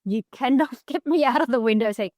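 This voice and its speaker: Bright, lively woman podcast host. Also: on the high side, a little fast, no room sound, clean studio sound. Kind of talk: casual chat.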